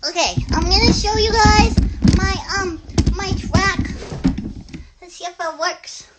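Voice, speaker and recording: A woman voicing an excitable, chatty little girl puppet in an improvised live children's show, rambling in a childlike, hesitant way. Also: extremely high pitch, measured pace, very noisy. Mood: chatty, busy.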